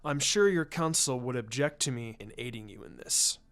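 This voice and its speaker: A man voicing a shrewd, laid-back intelligence chief in a story reading, with a careful, deliberate way of speaking. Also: a bit bright, clear, resonant tone, natural voice. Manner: careful, deliberate, low-key. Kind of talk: fan fiction story reading. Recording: music under the voice.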